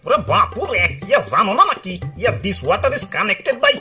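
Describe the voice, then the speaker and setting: Cartoon grandpa played by a man: elderly, flustered exclaimer, Bangladeshi dialect, dropped pitch, full of verbal tics, music behind. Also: huge pitch swings, normal speed. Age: older. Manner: peppers speech with a filler word, exclaiming. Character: old grandfather, bumbling, henpecked, easily startled. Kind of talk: comic cartoon dialogue.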